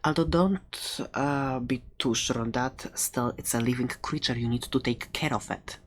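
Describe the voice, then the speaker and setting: A woman's voice: extremely low pitch, moderate pace. A woman playing a playful, friendly dragon egg seller in an improvised ASMR roleplay, rambling hesitantly with little giggles. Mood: musing, teasing.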